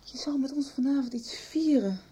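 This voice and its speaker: An actress playing an embarrassed, indignant young woman in a radio soap, with a husky voice. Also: sits high, moderate pace, warm tone, close to an everyday voice. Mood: indignant, hurt.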